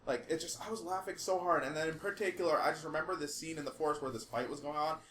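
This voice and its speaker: A man co-hosting a podcast, telling stories casually in an excitable, slangy way with some swearing. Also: on the high side, fast, a little hiss or hum. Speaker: podcast co-host. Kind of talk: casual animated storytelling and banter. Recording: echoey room.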